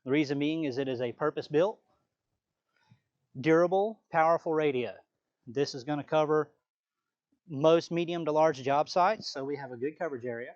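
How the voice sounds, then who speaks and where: A man's voice: a little high, moderate pace, long pauses, highs cut off. A man presenting a live product training session as a sales trainer, explaining points plainly and checking in with the occasional "right?".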